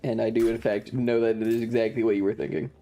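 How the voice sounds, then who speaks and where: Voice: mid-range voice, little pitch movement, fast, dark tone, soft background music. A streamer giving casual, profane and sarcastic game commentary to a live chat on a close mic.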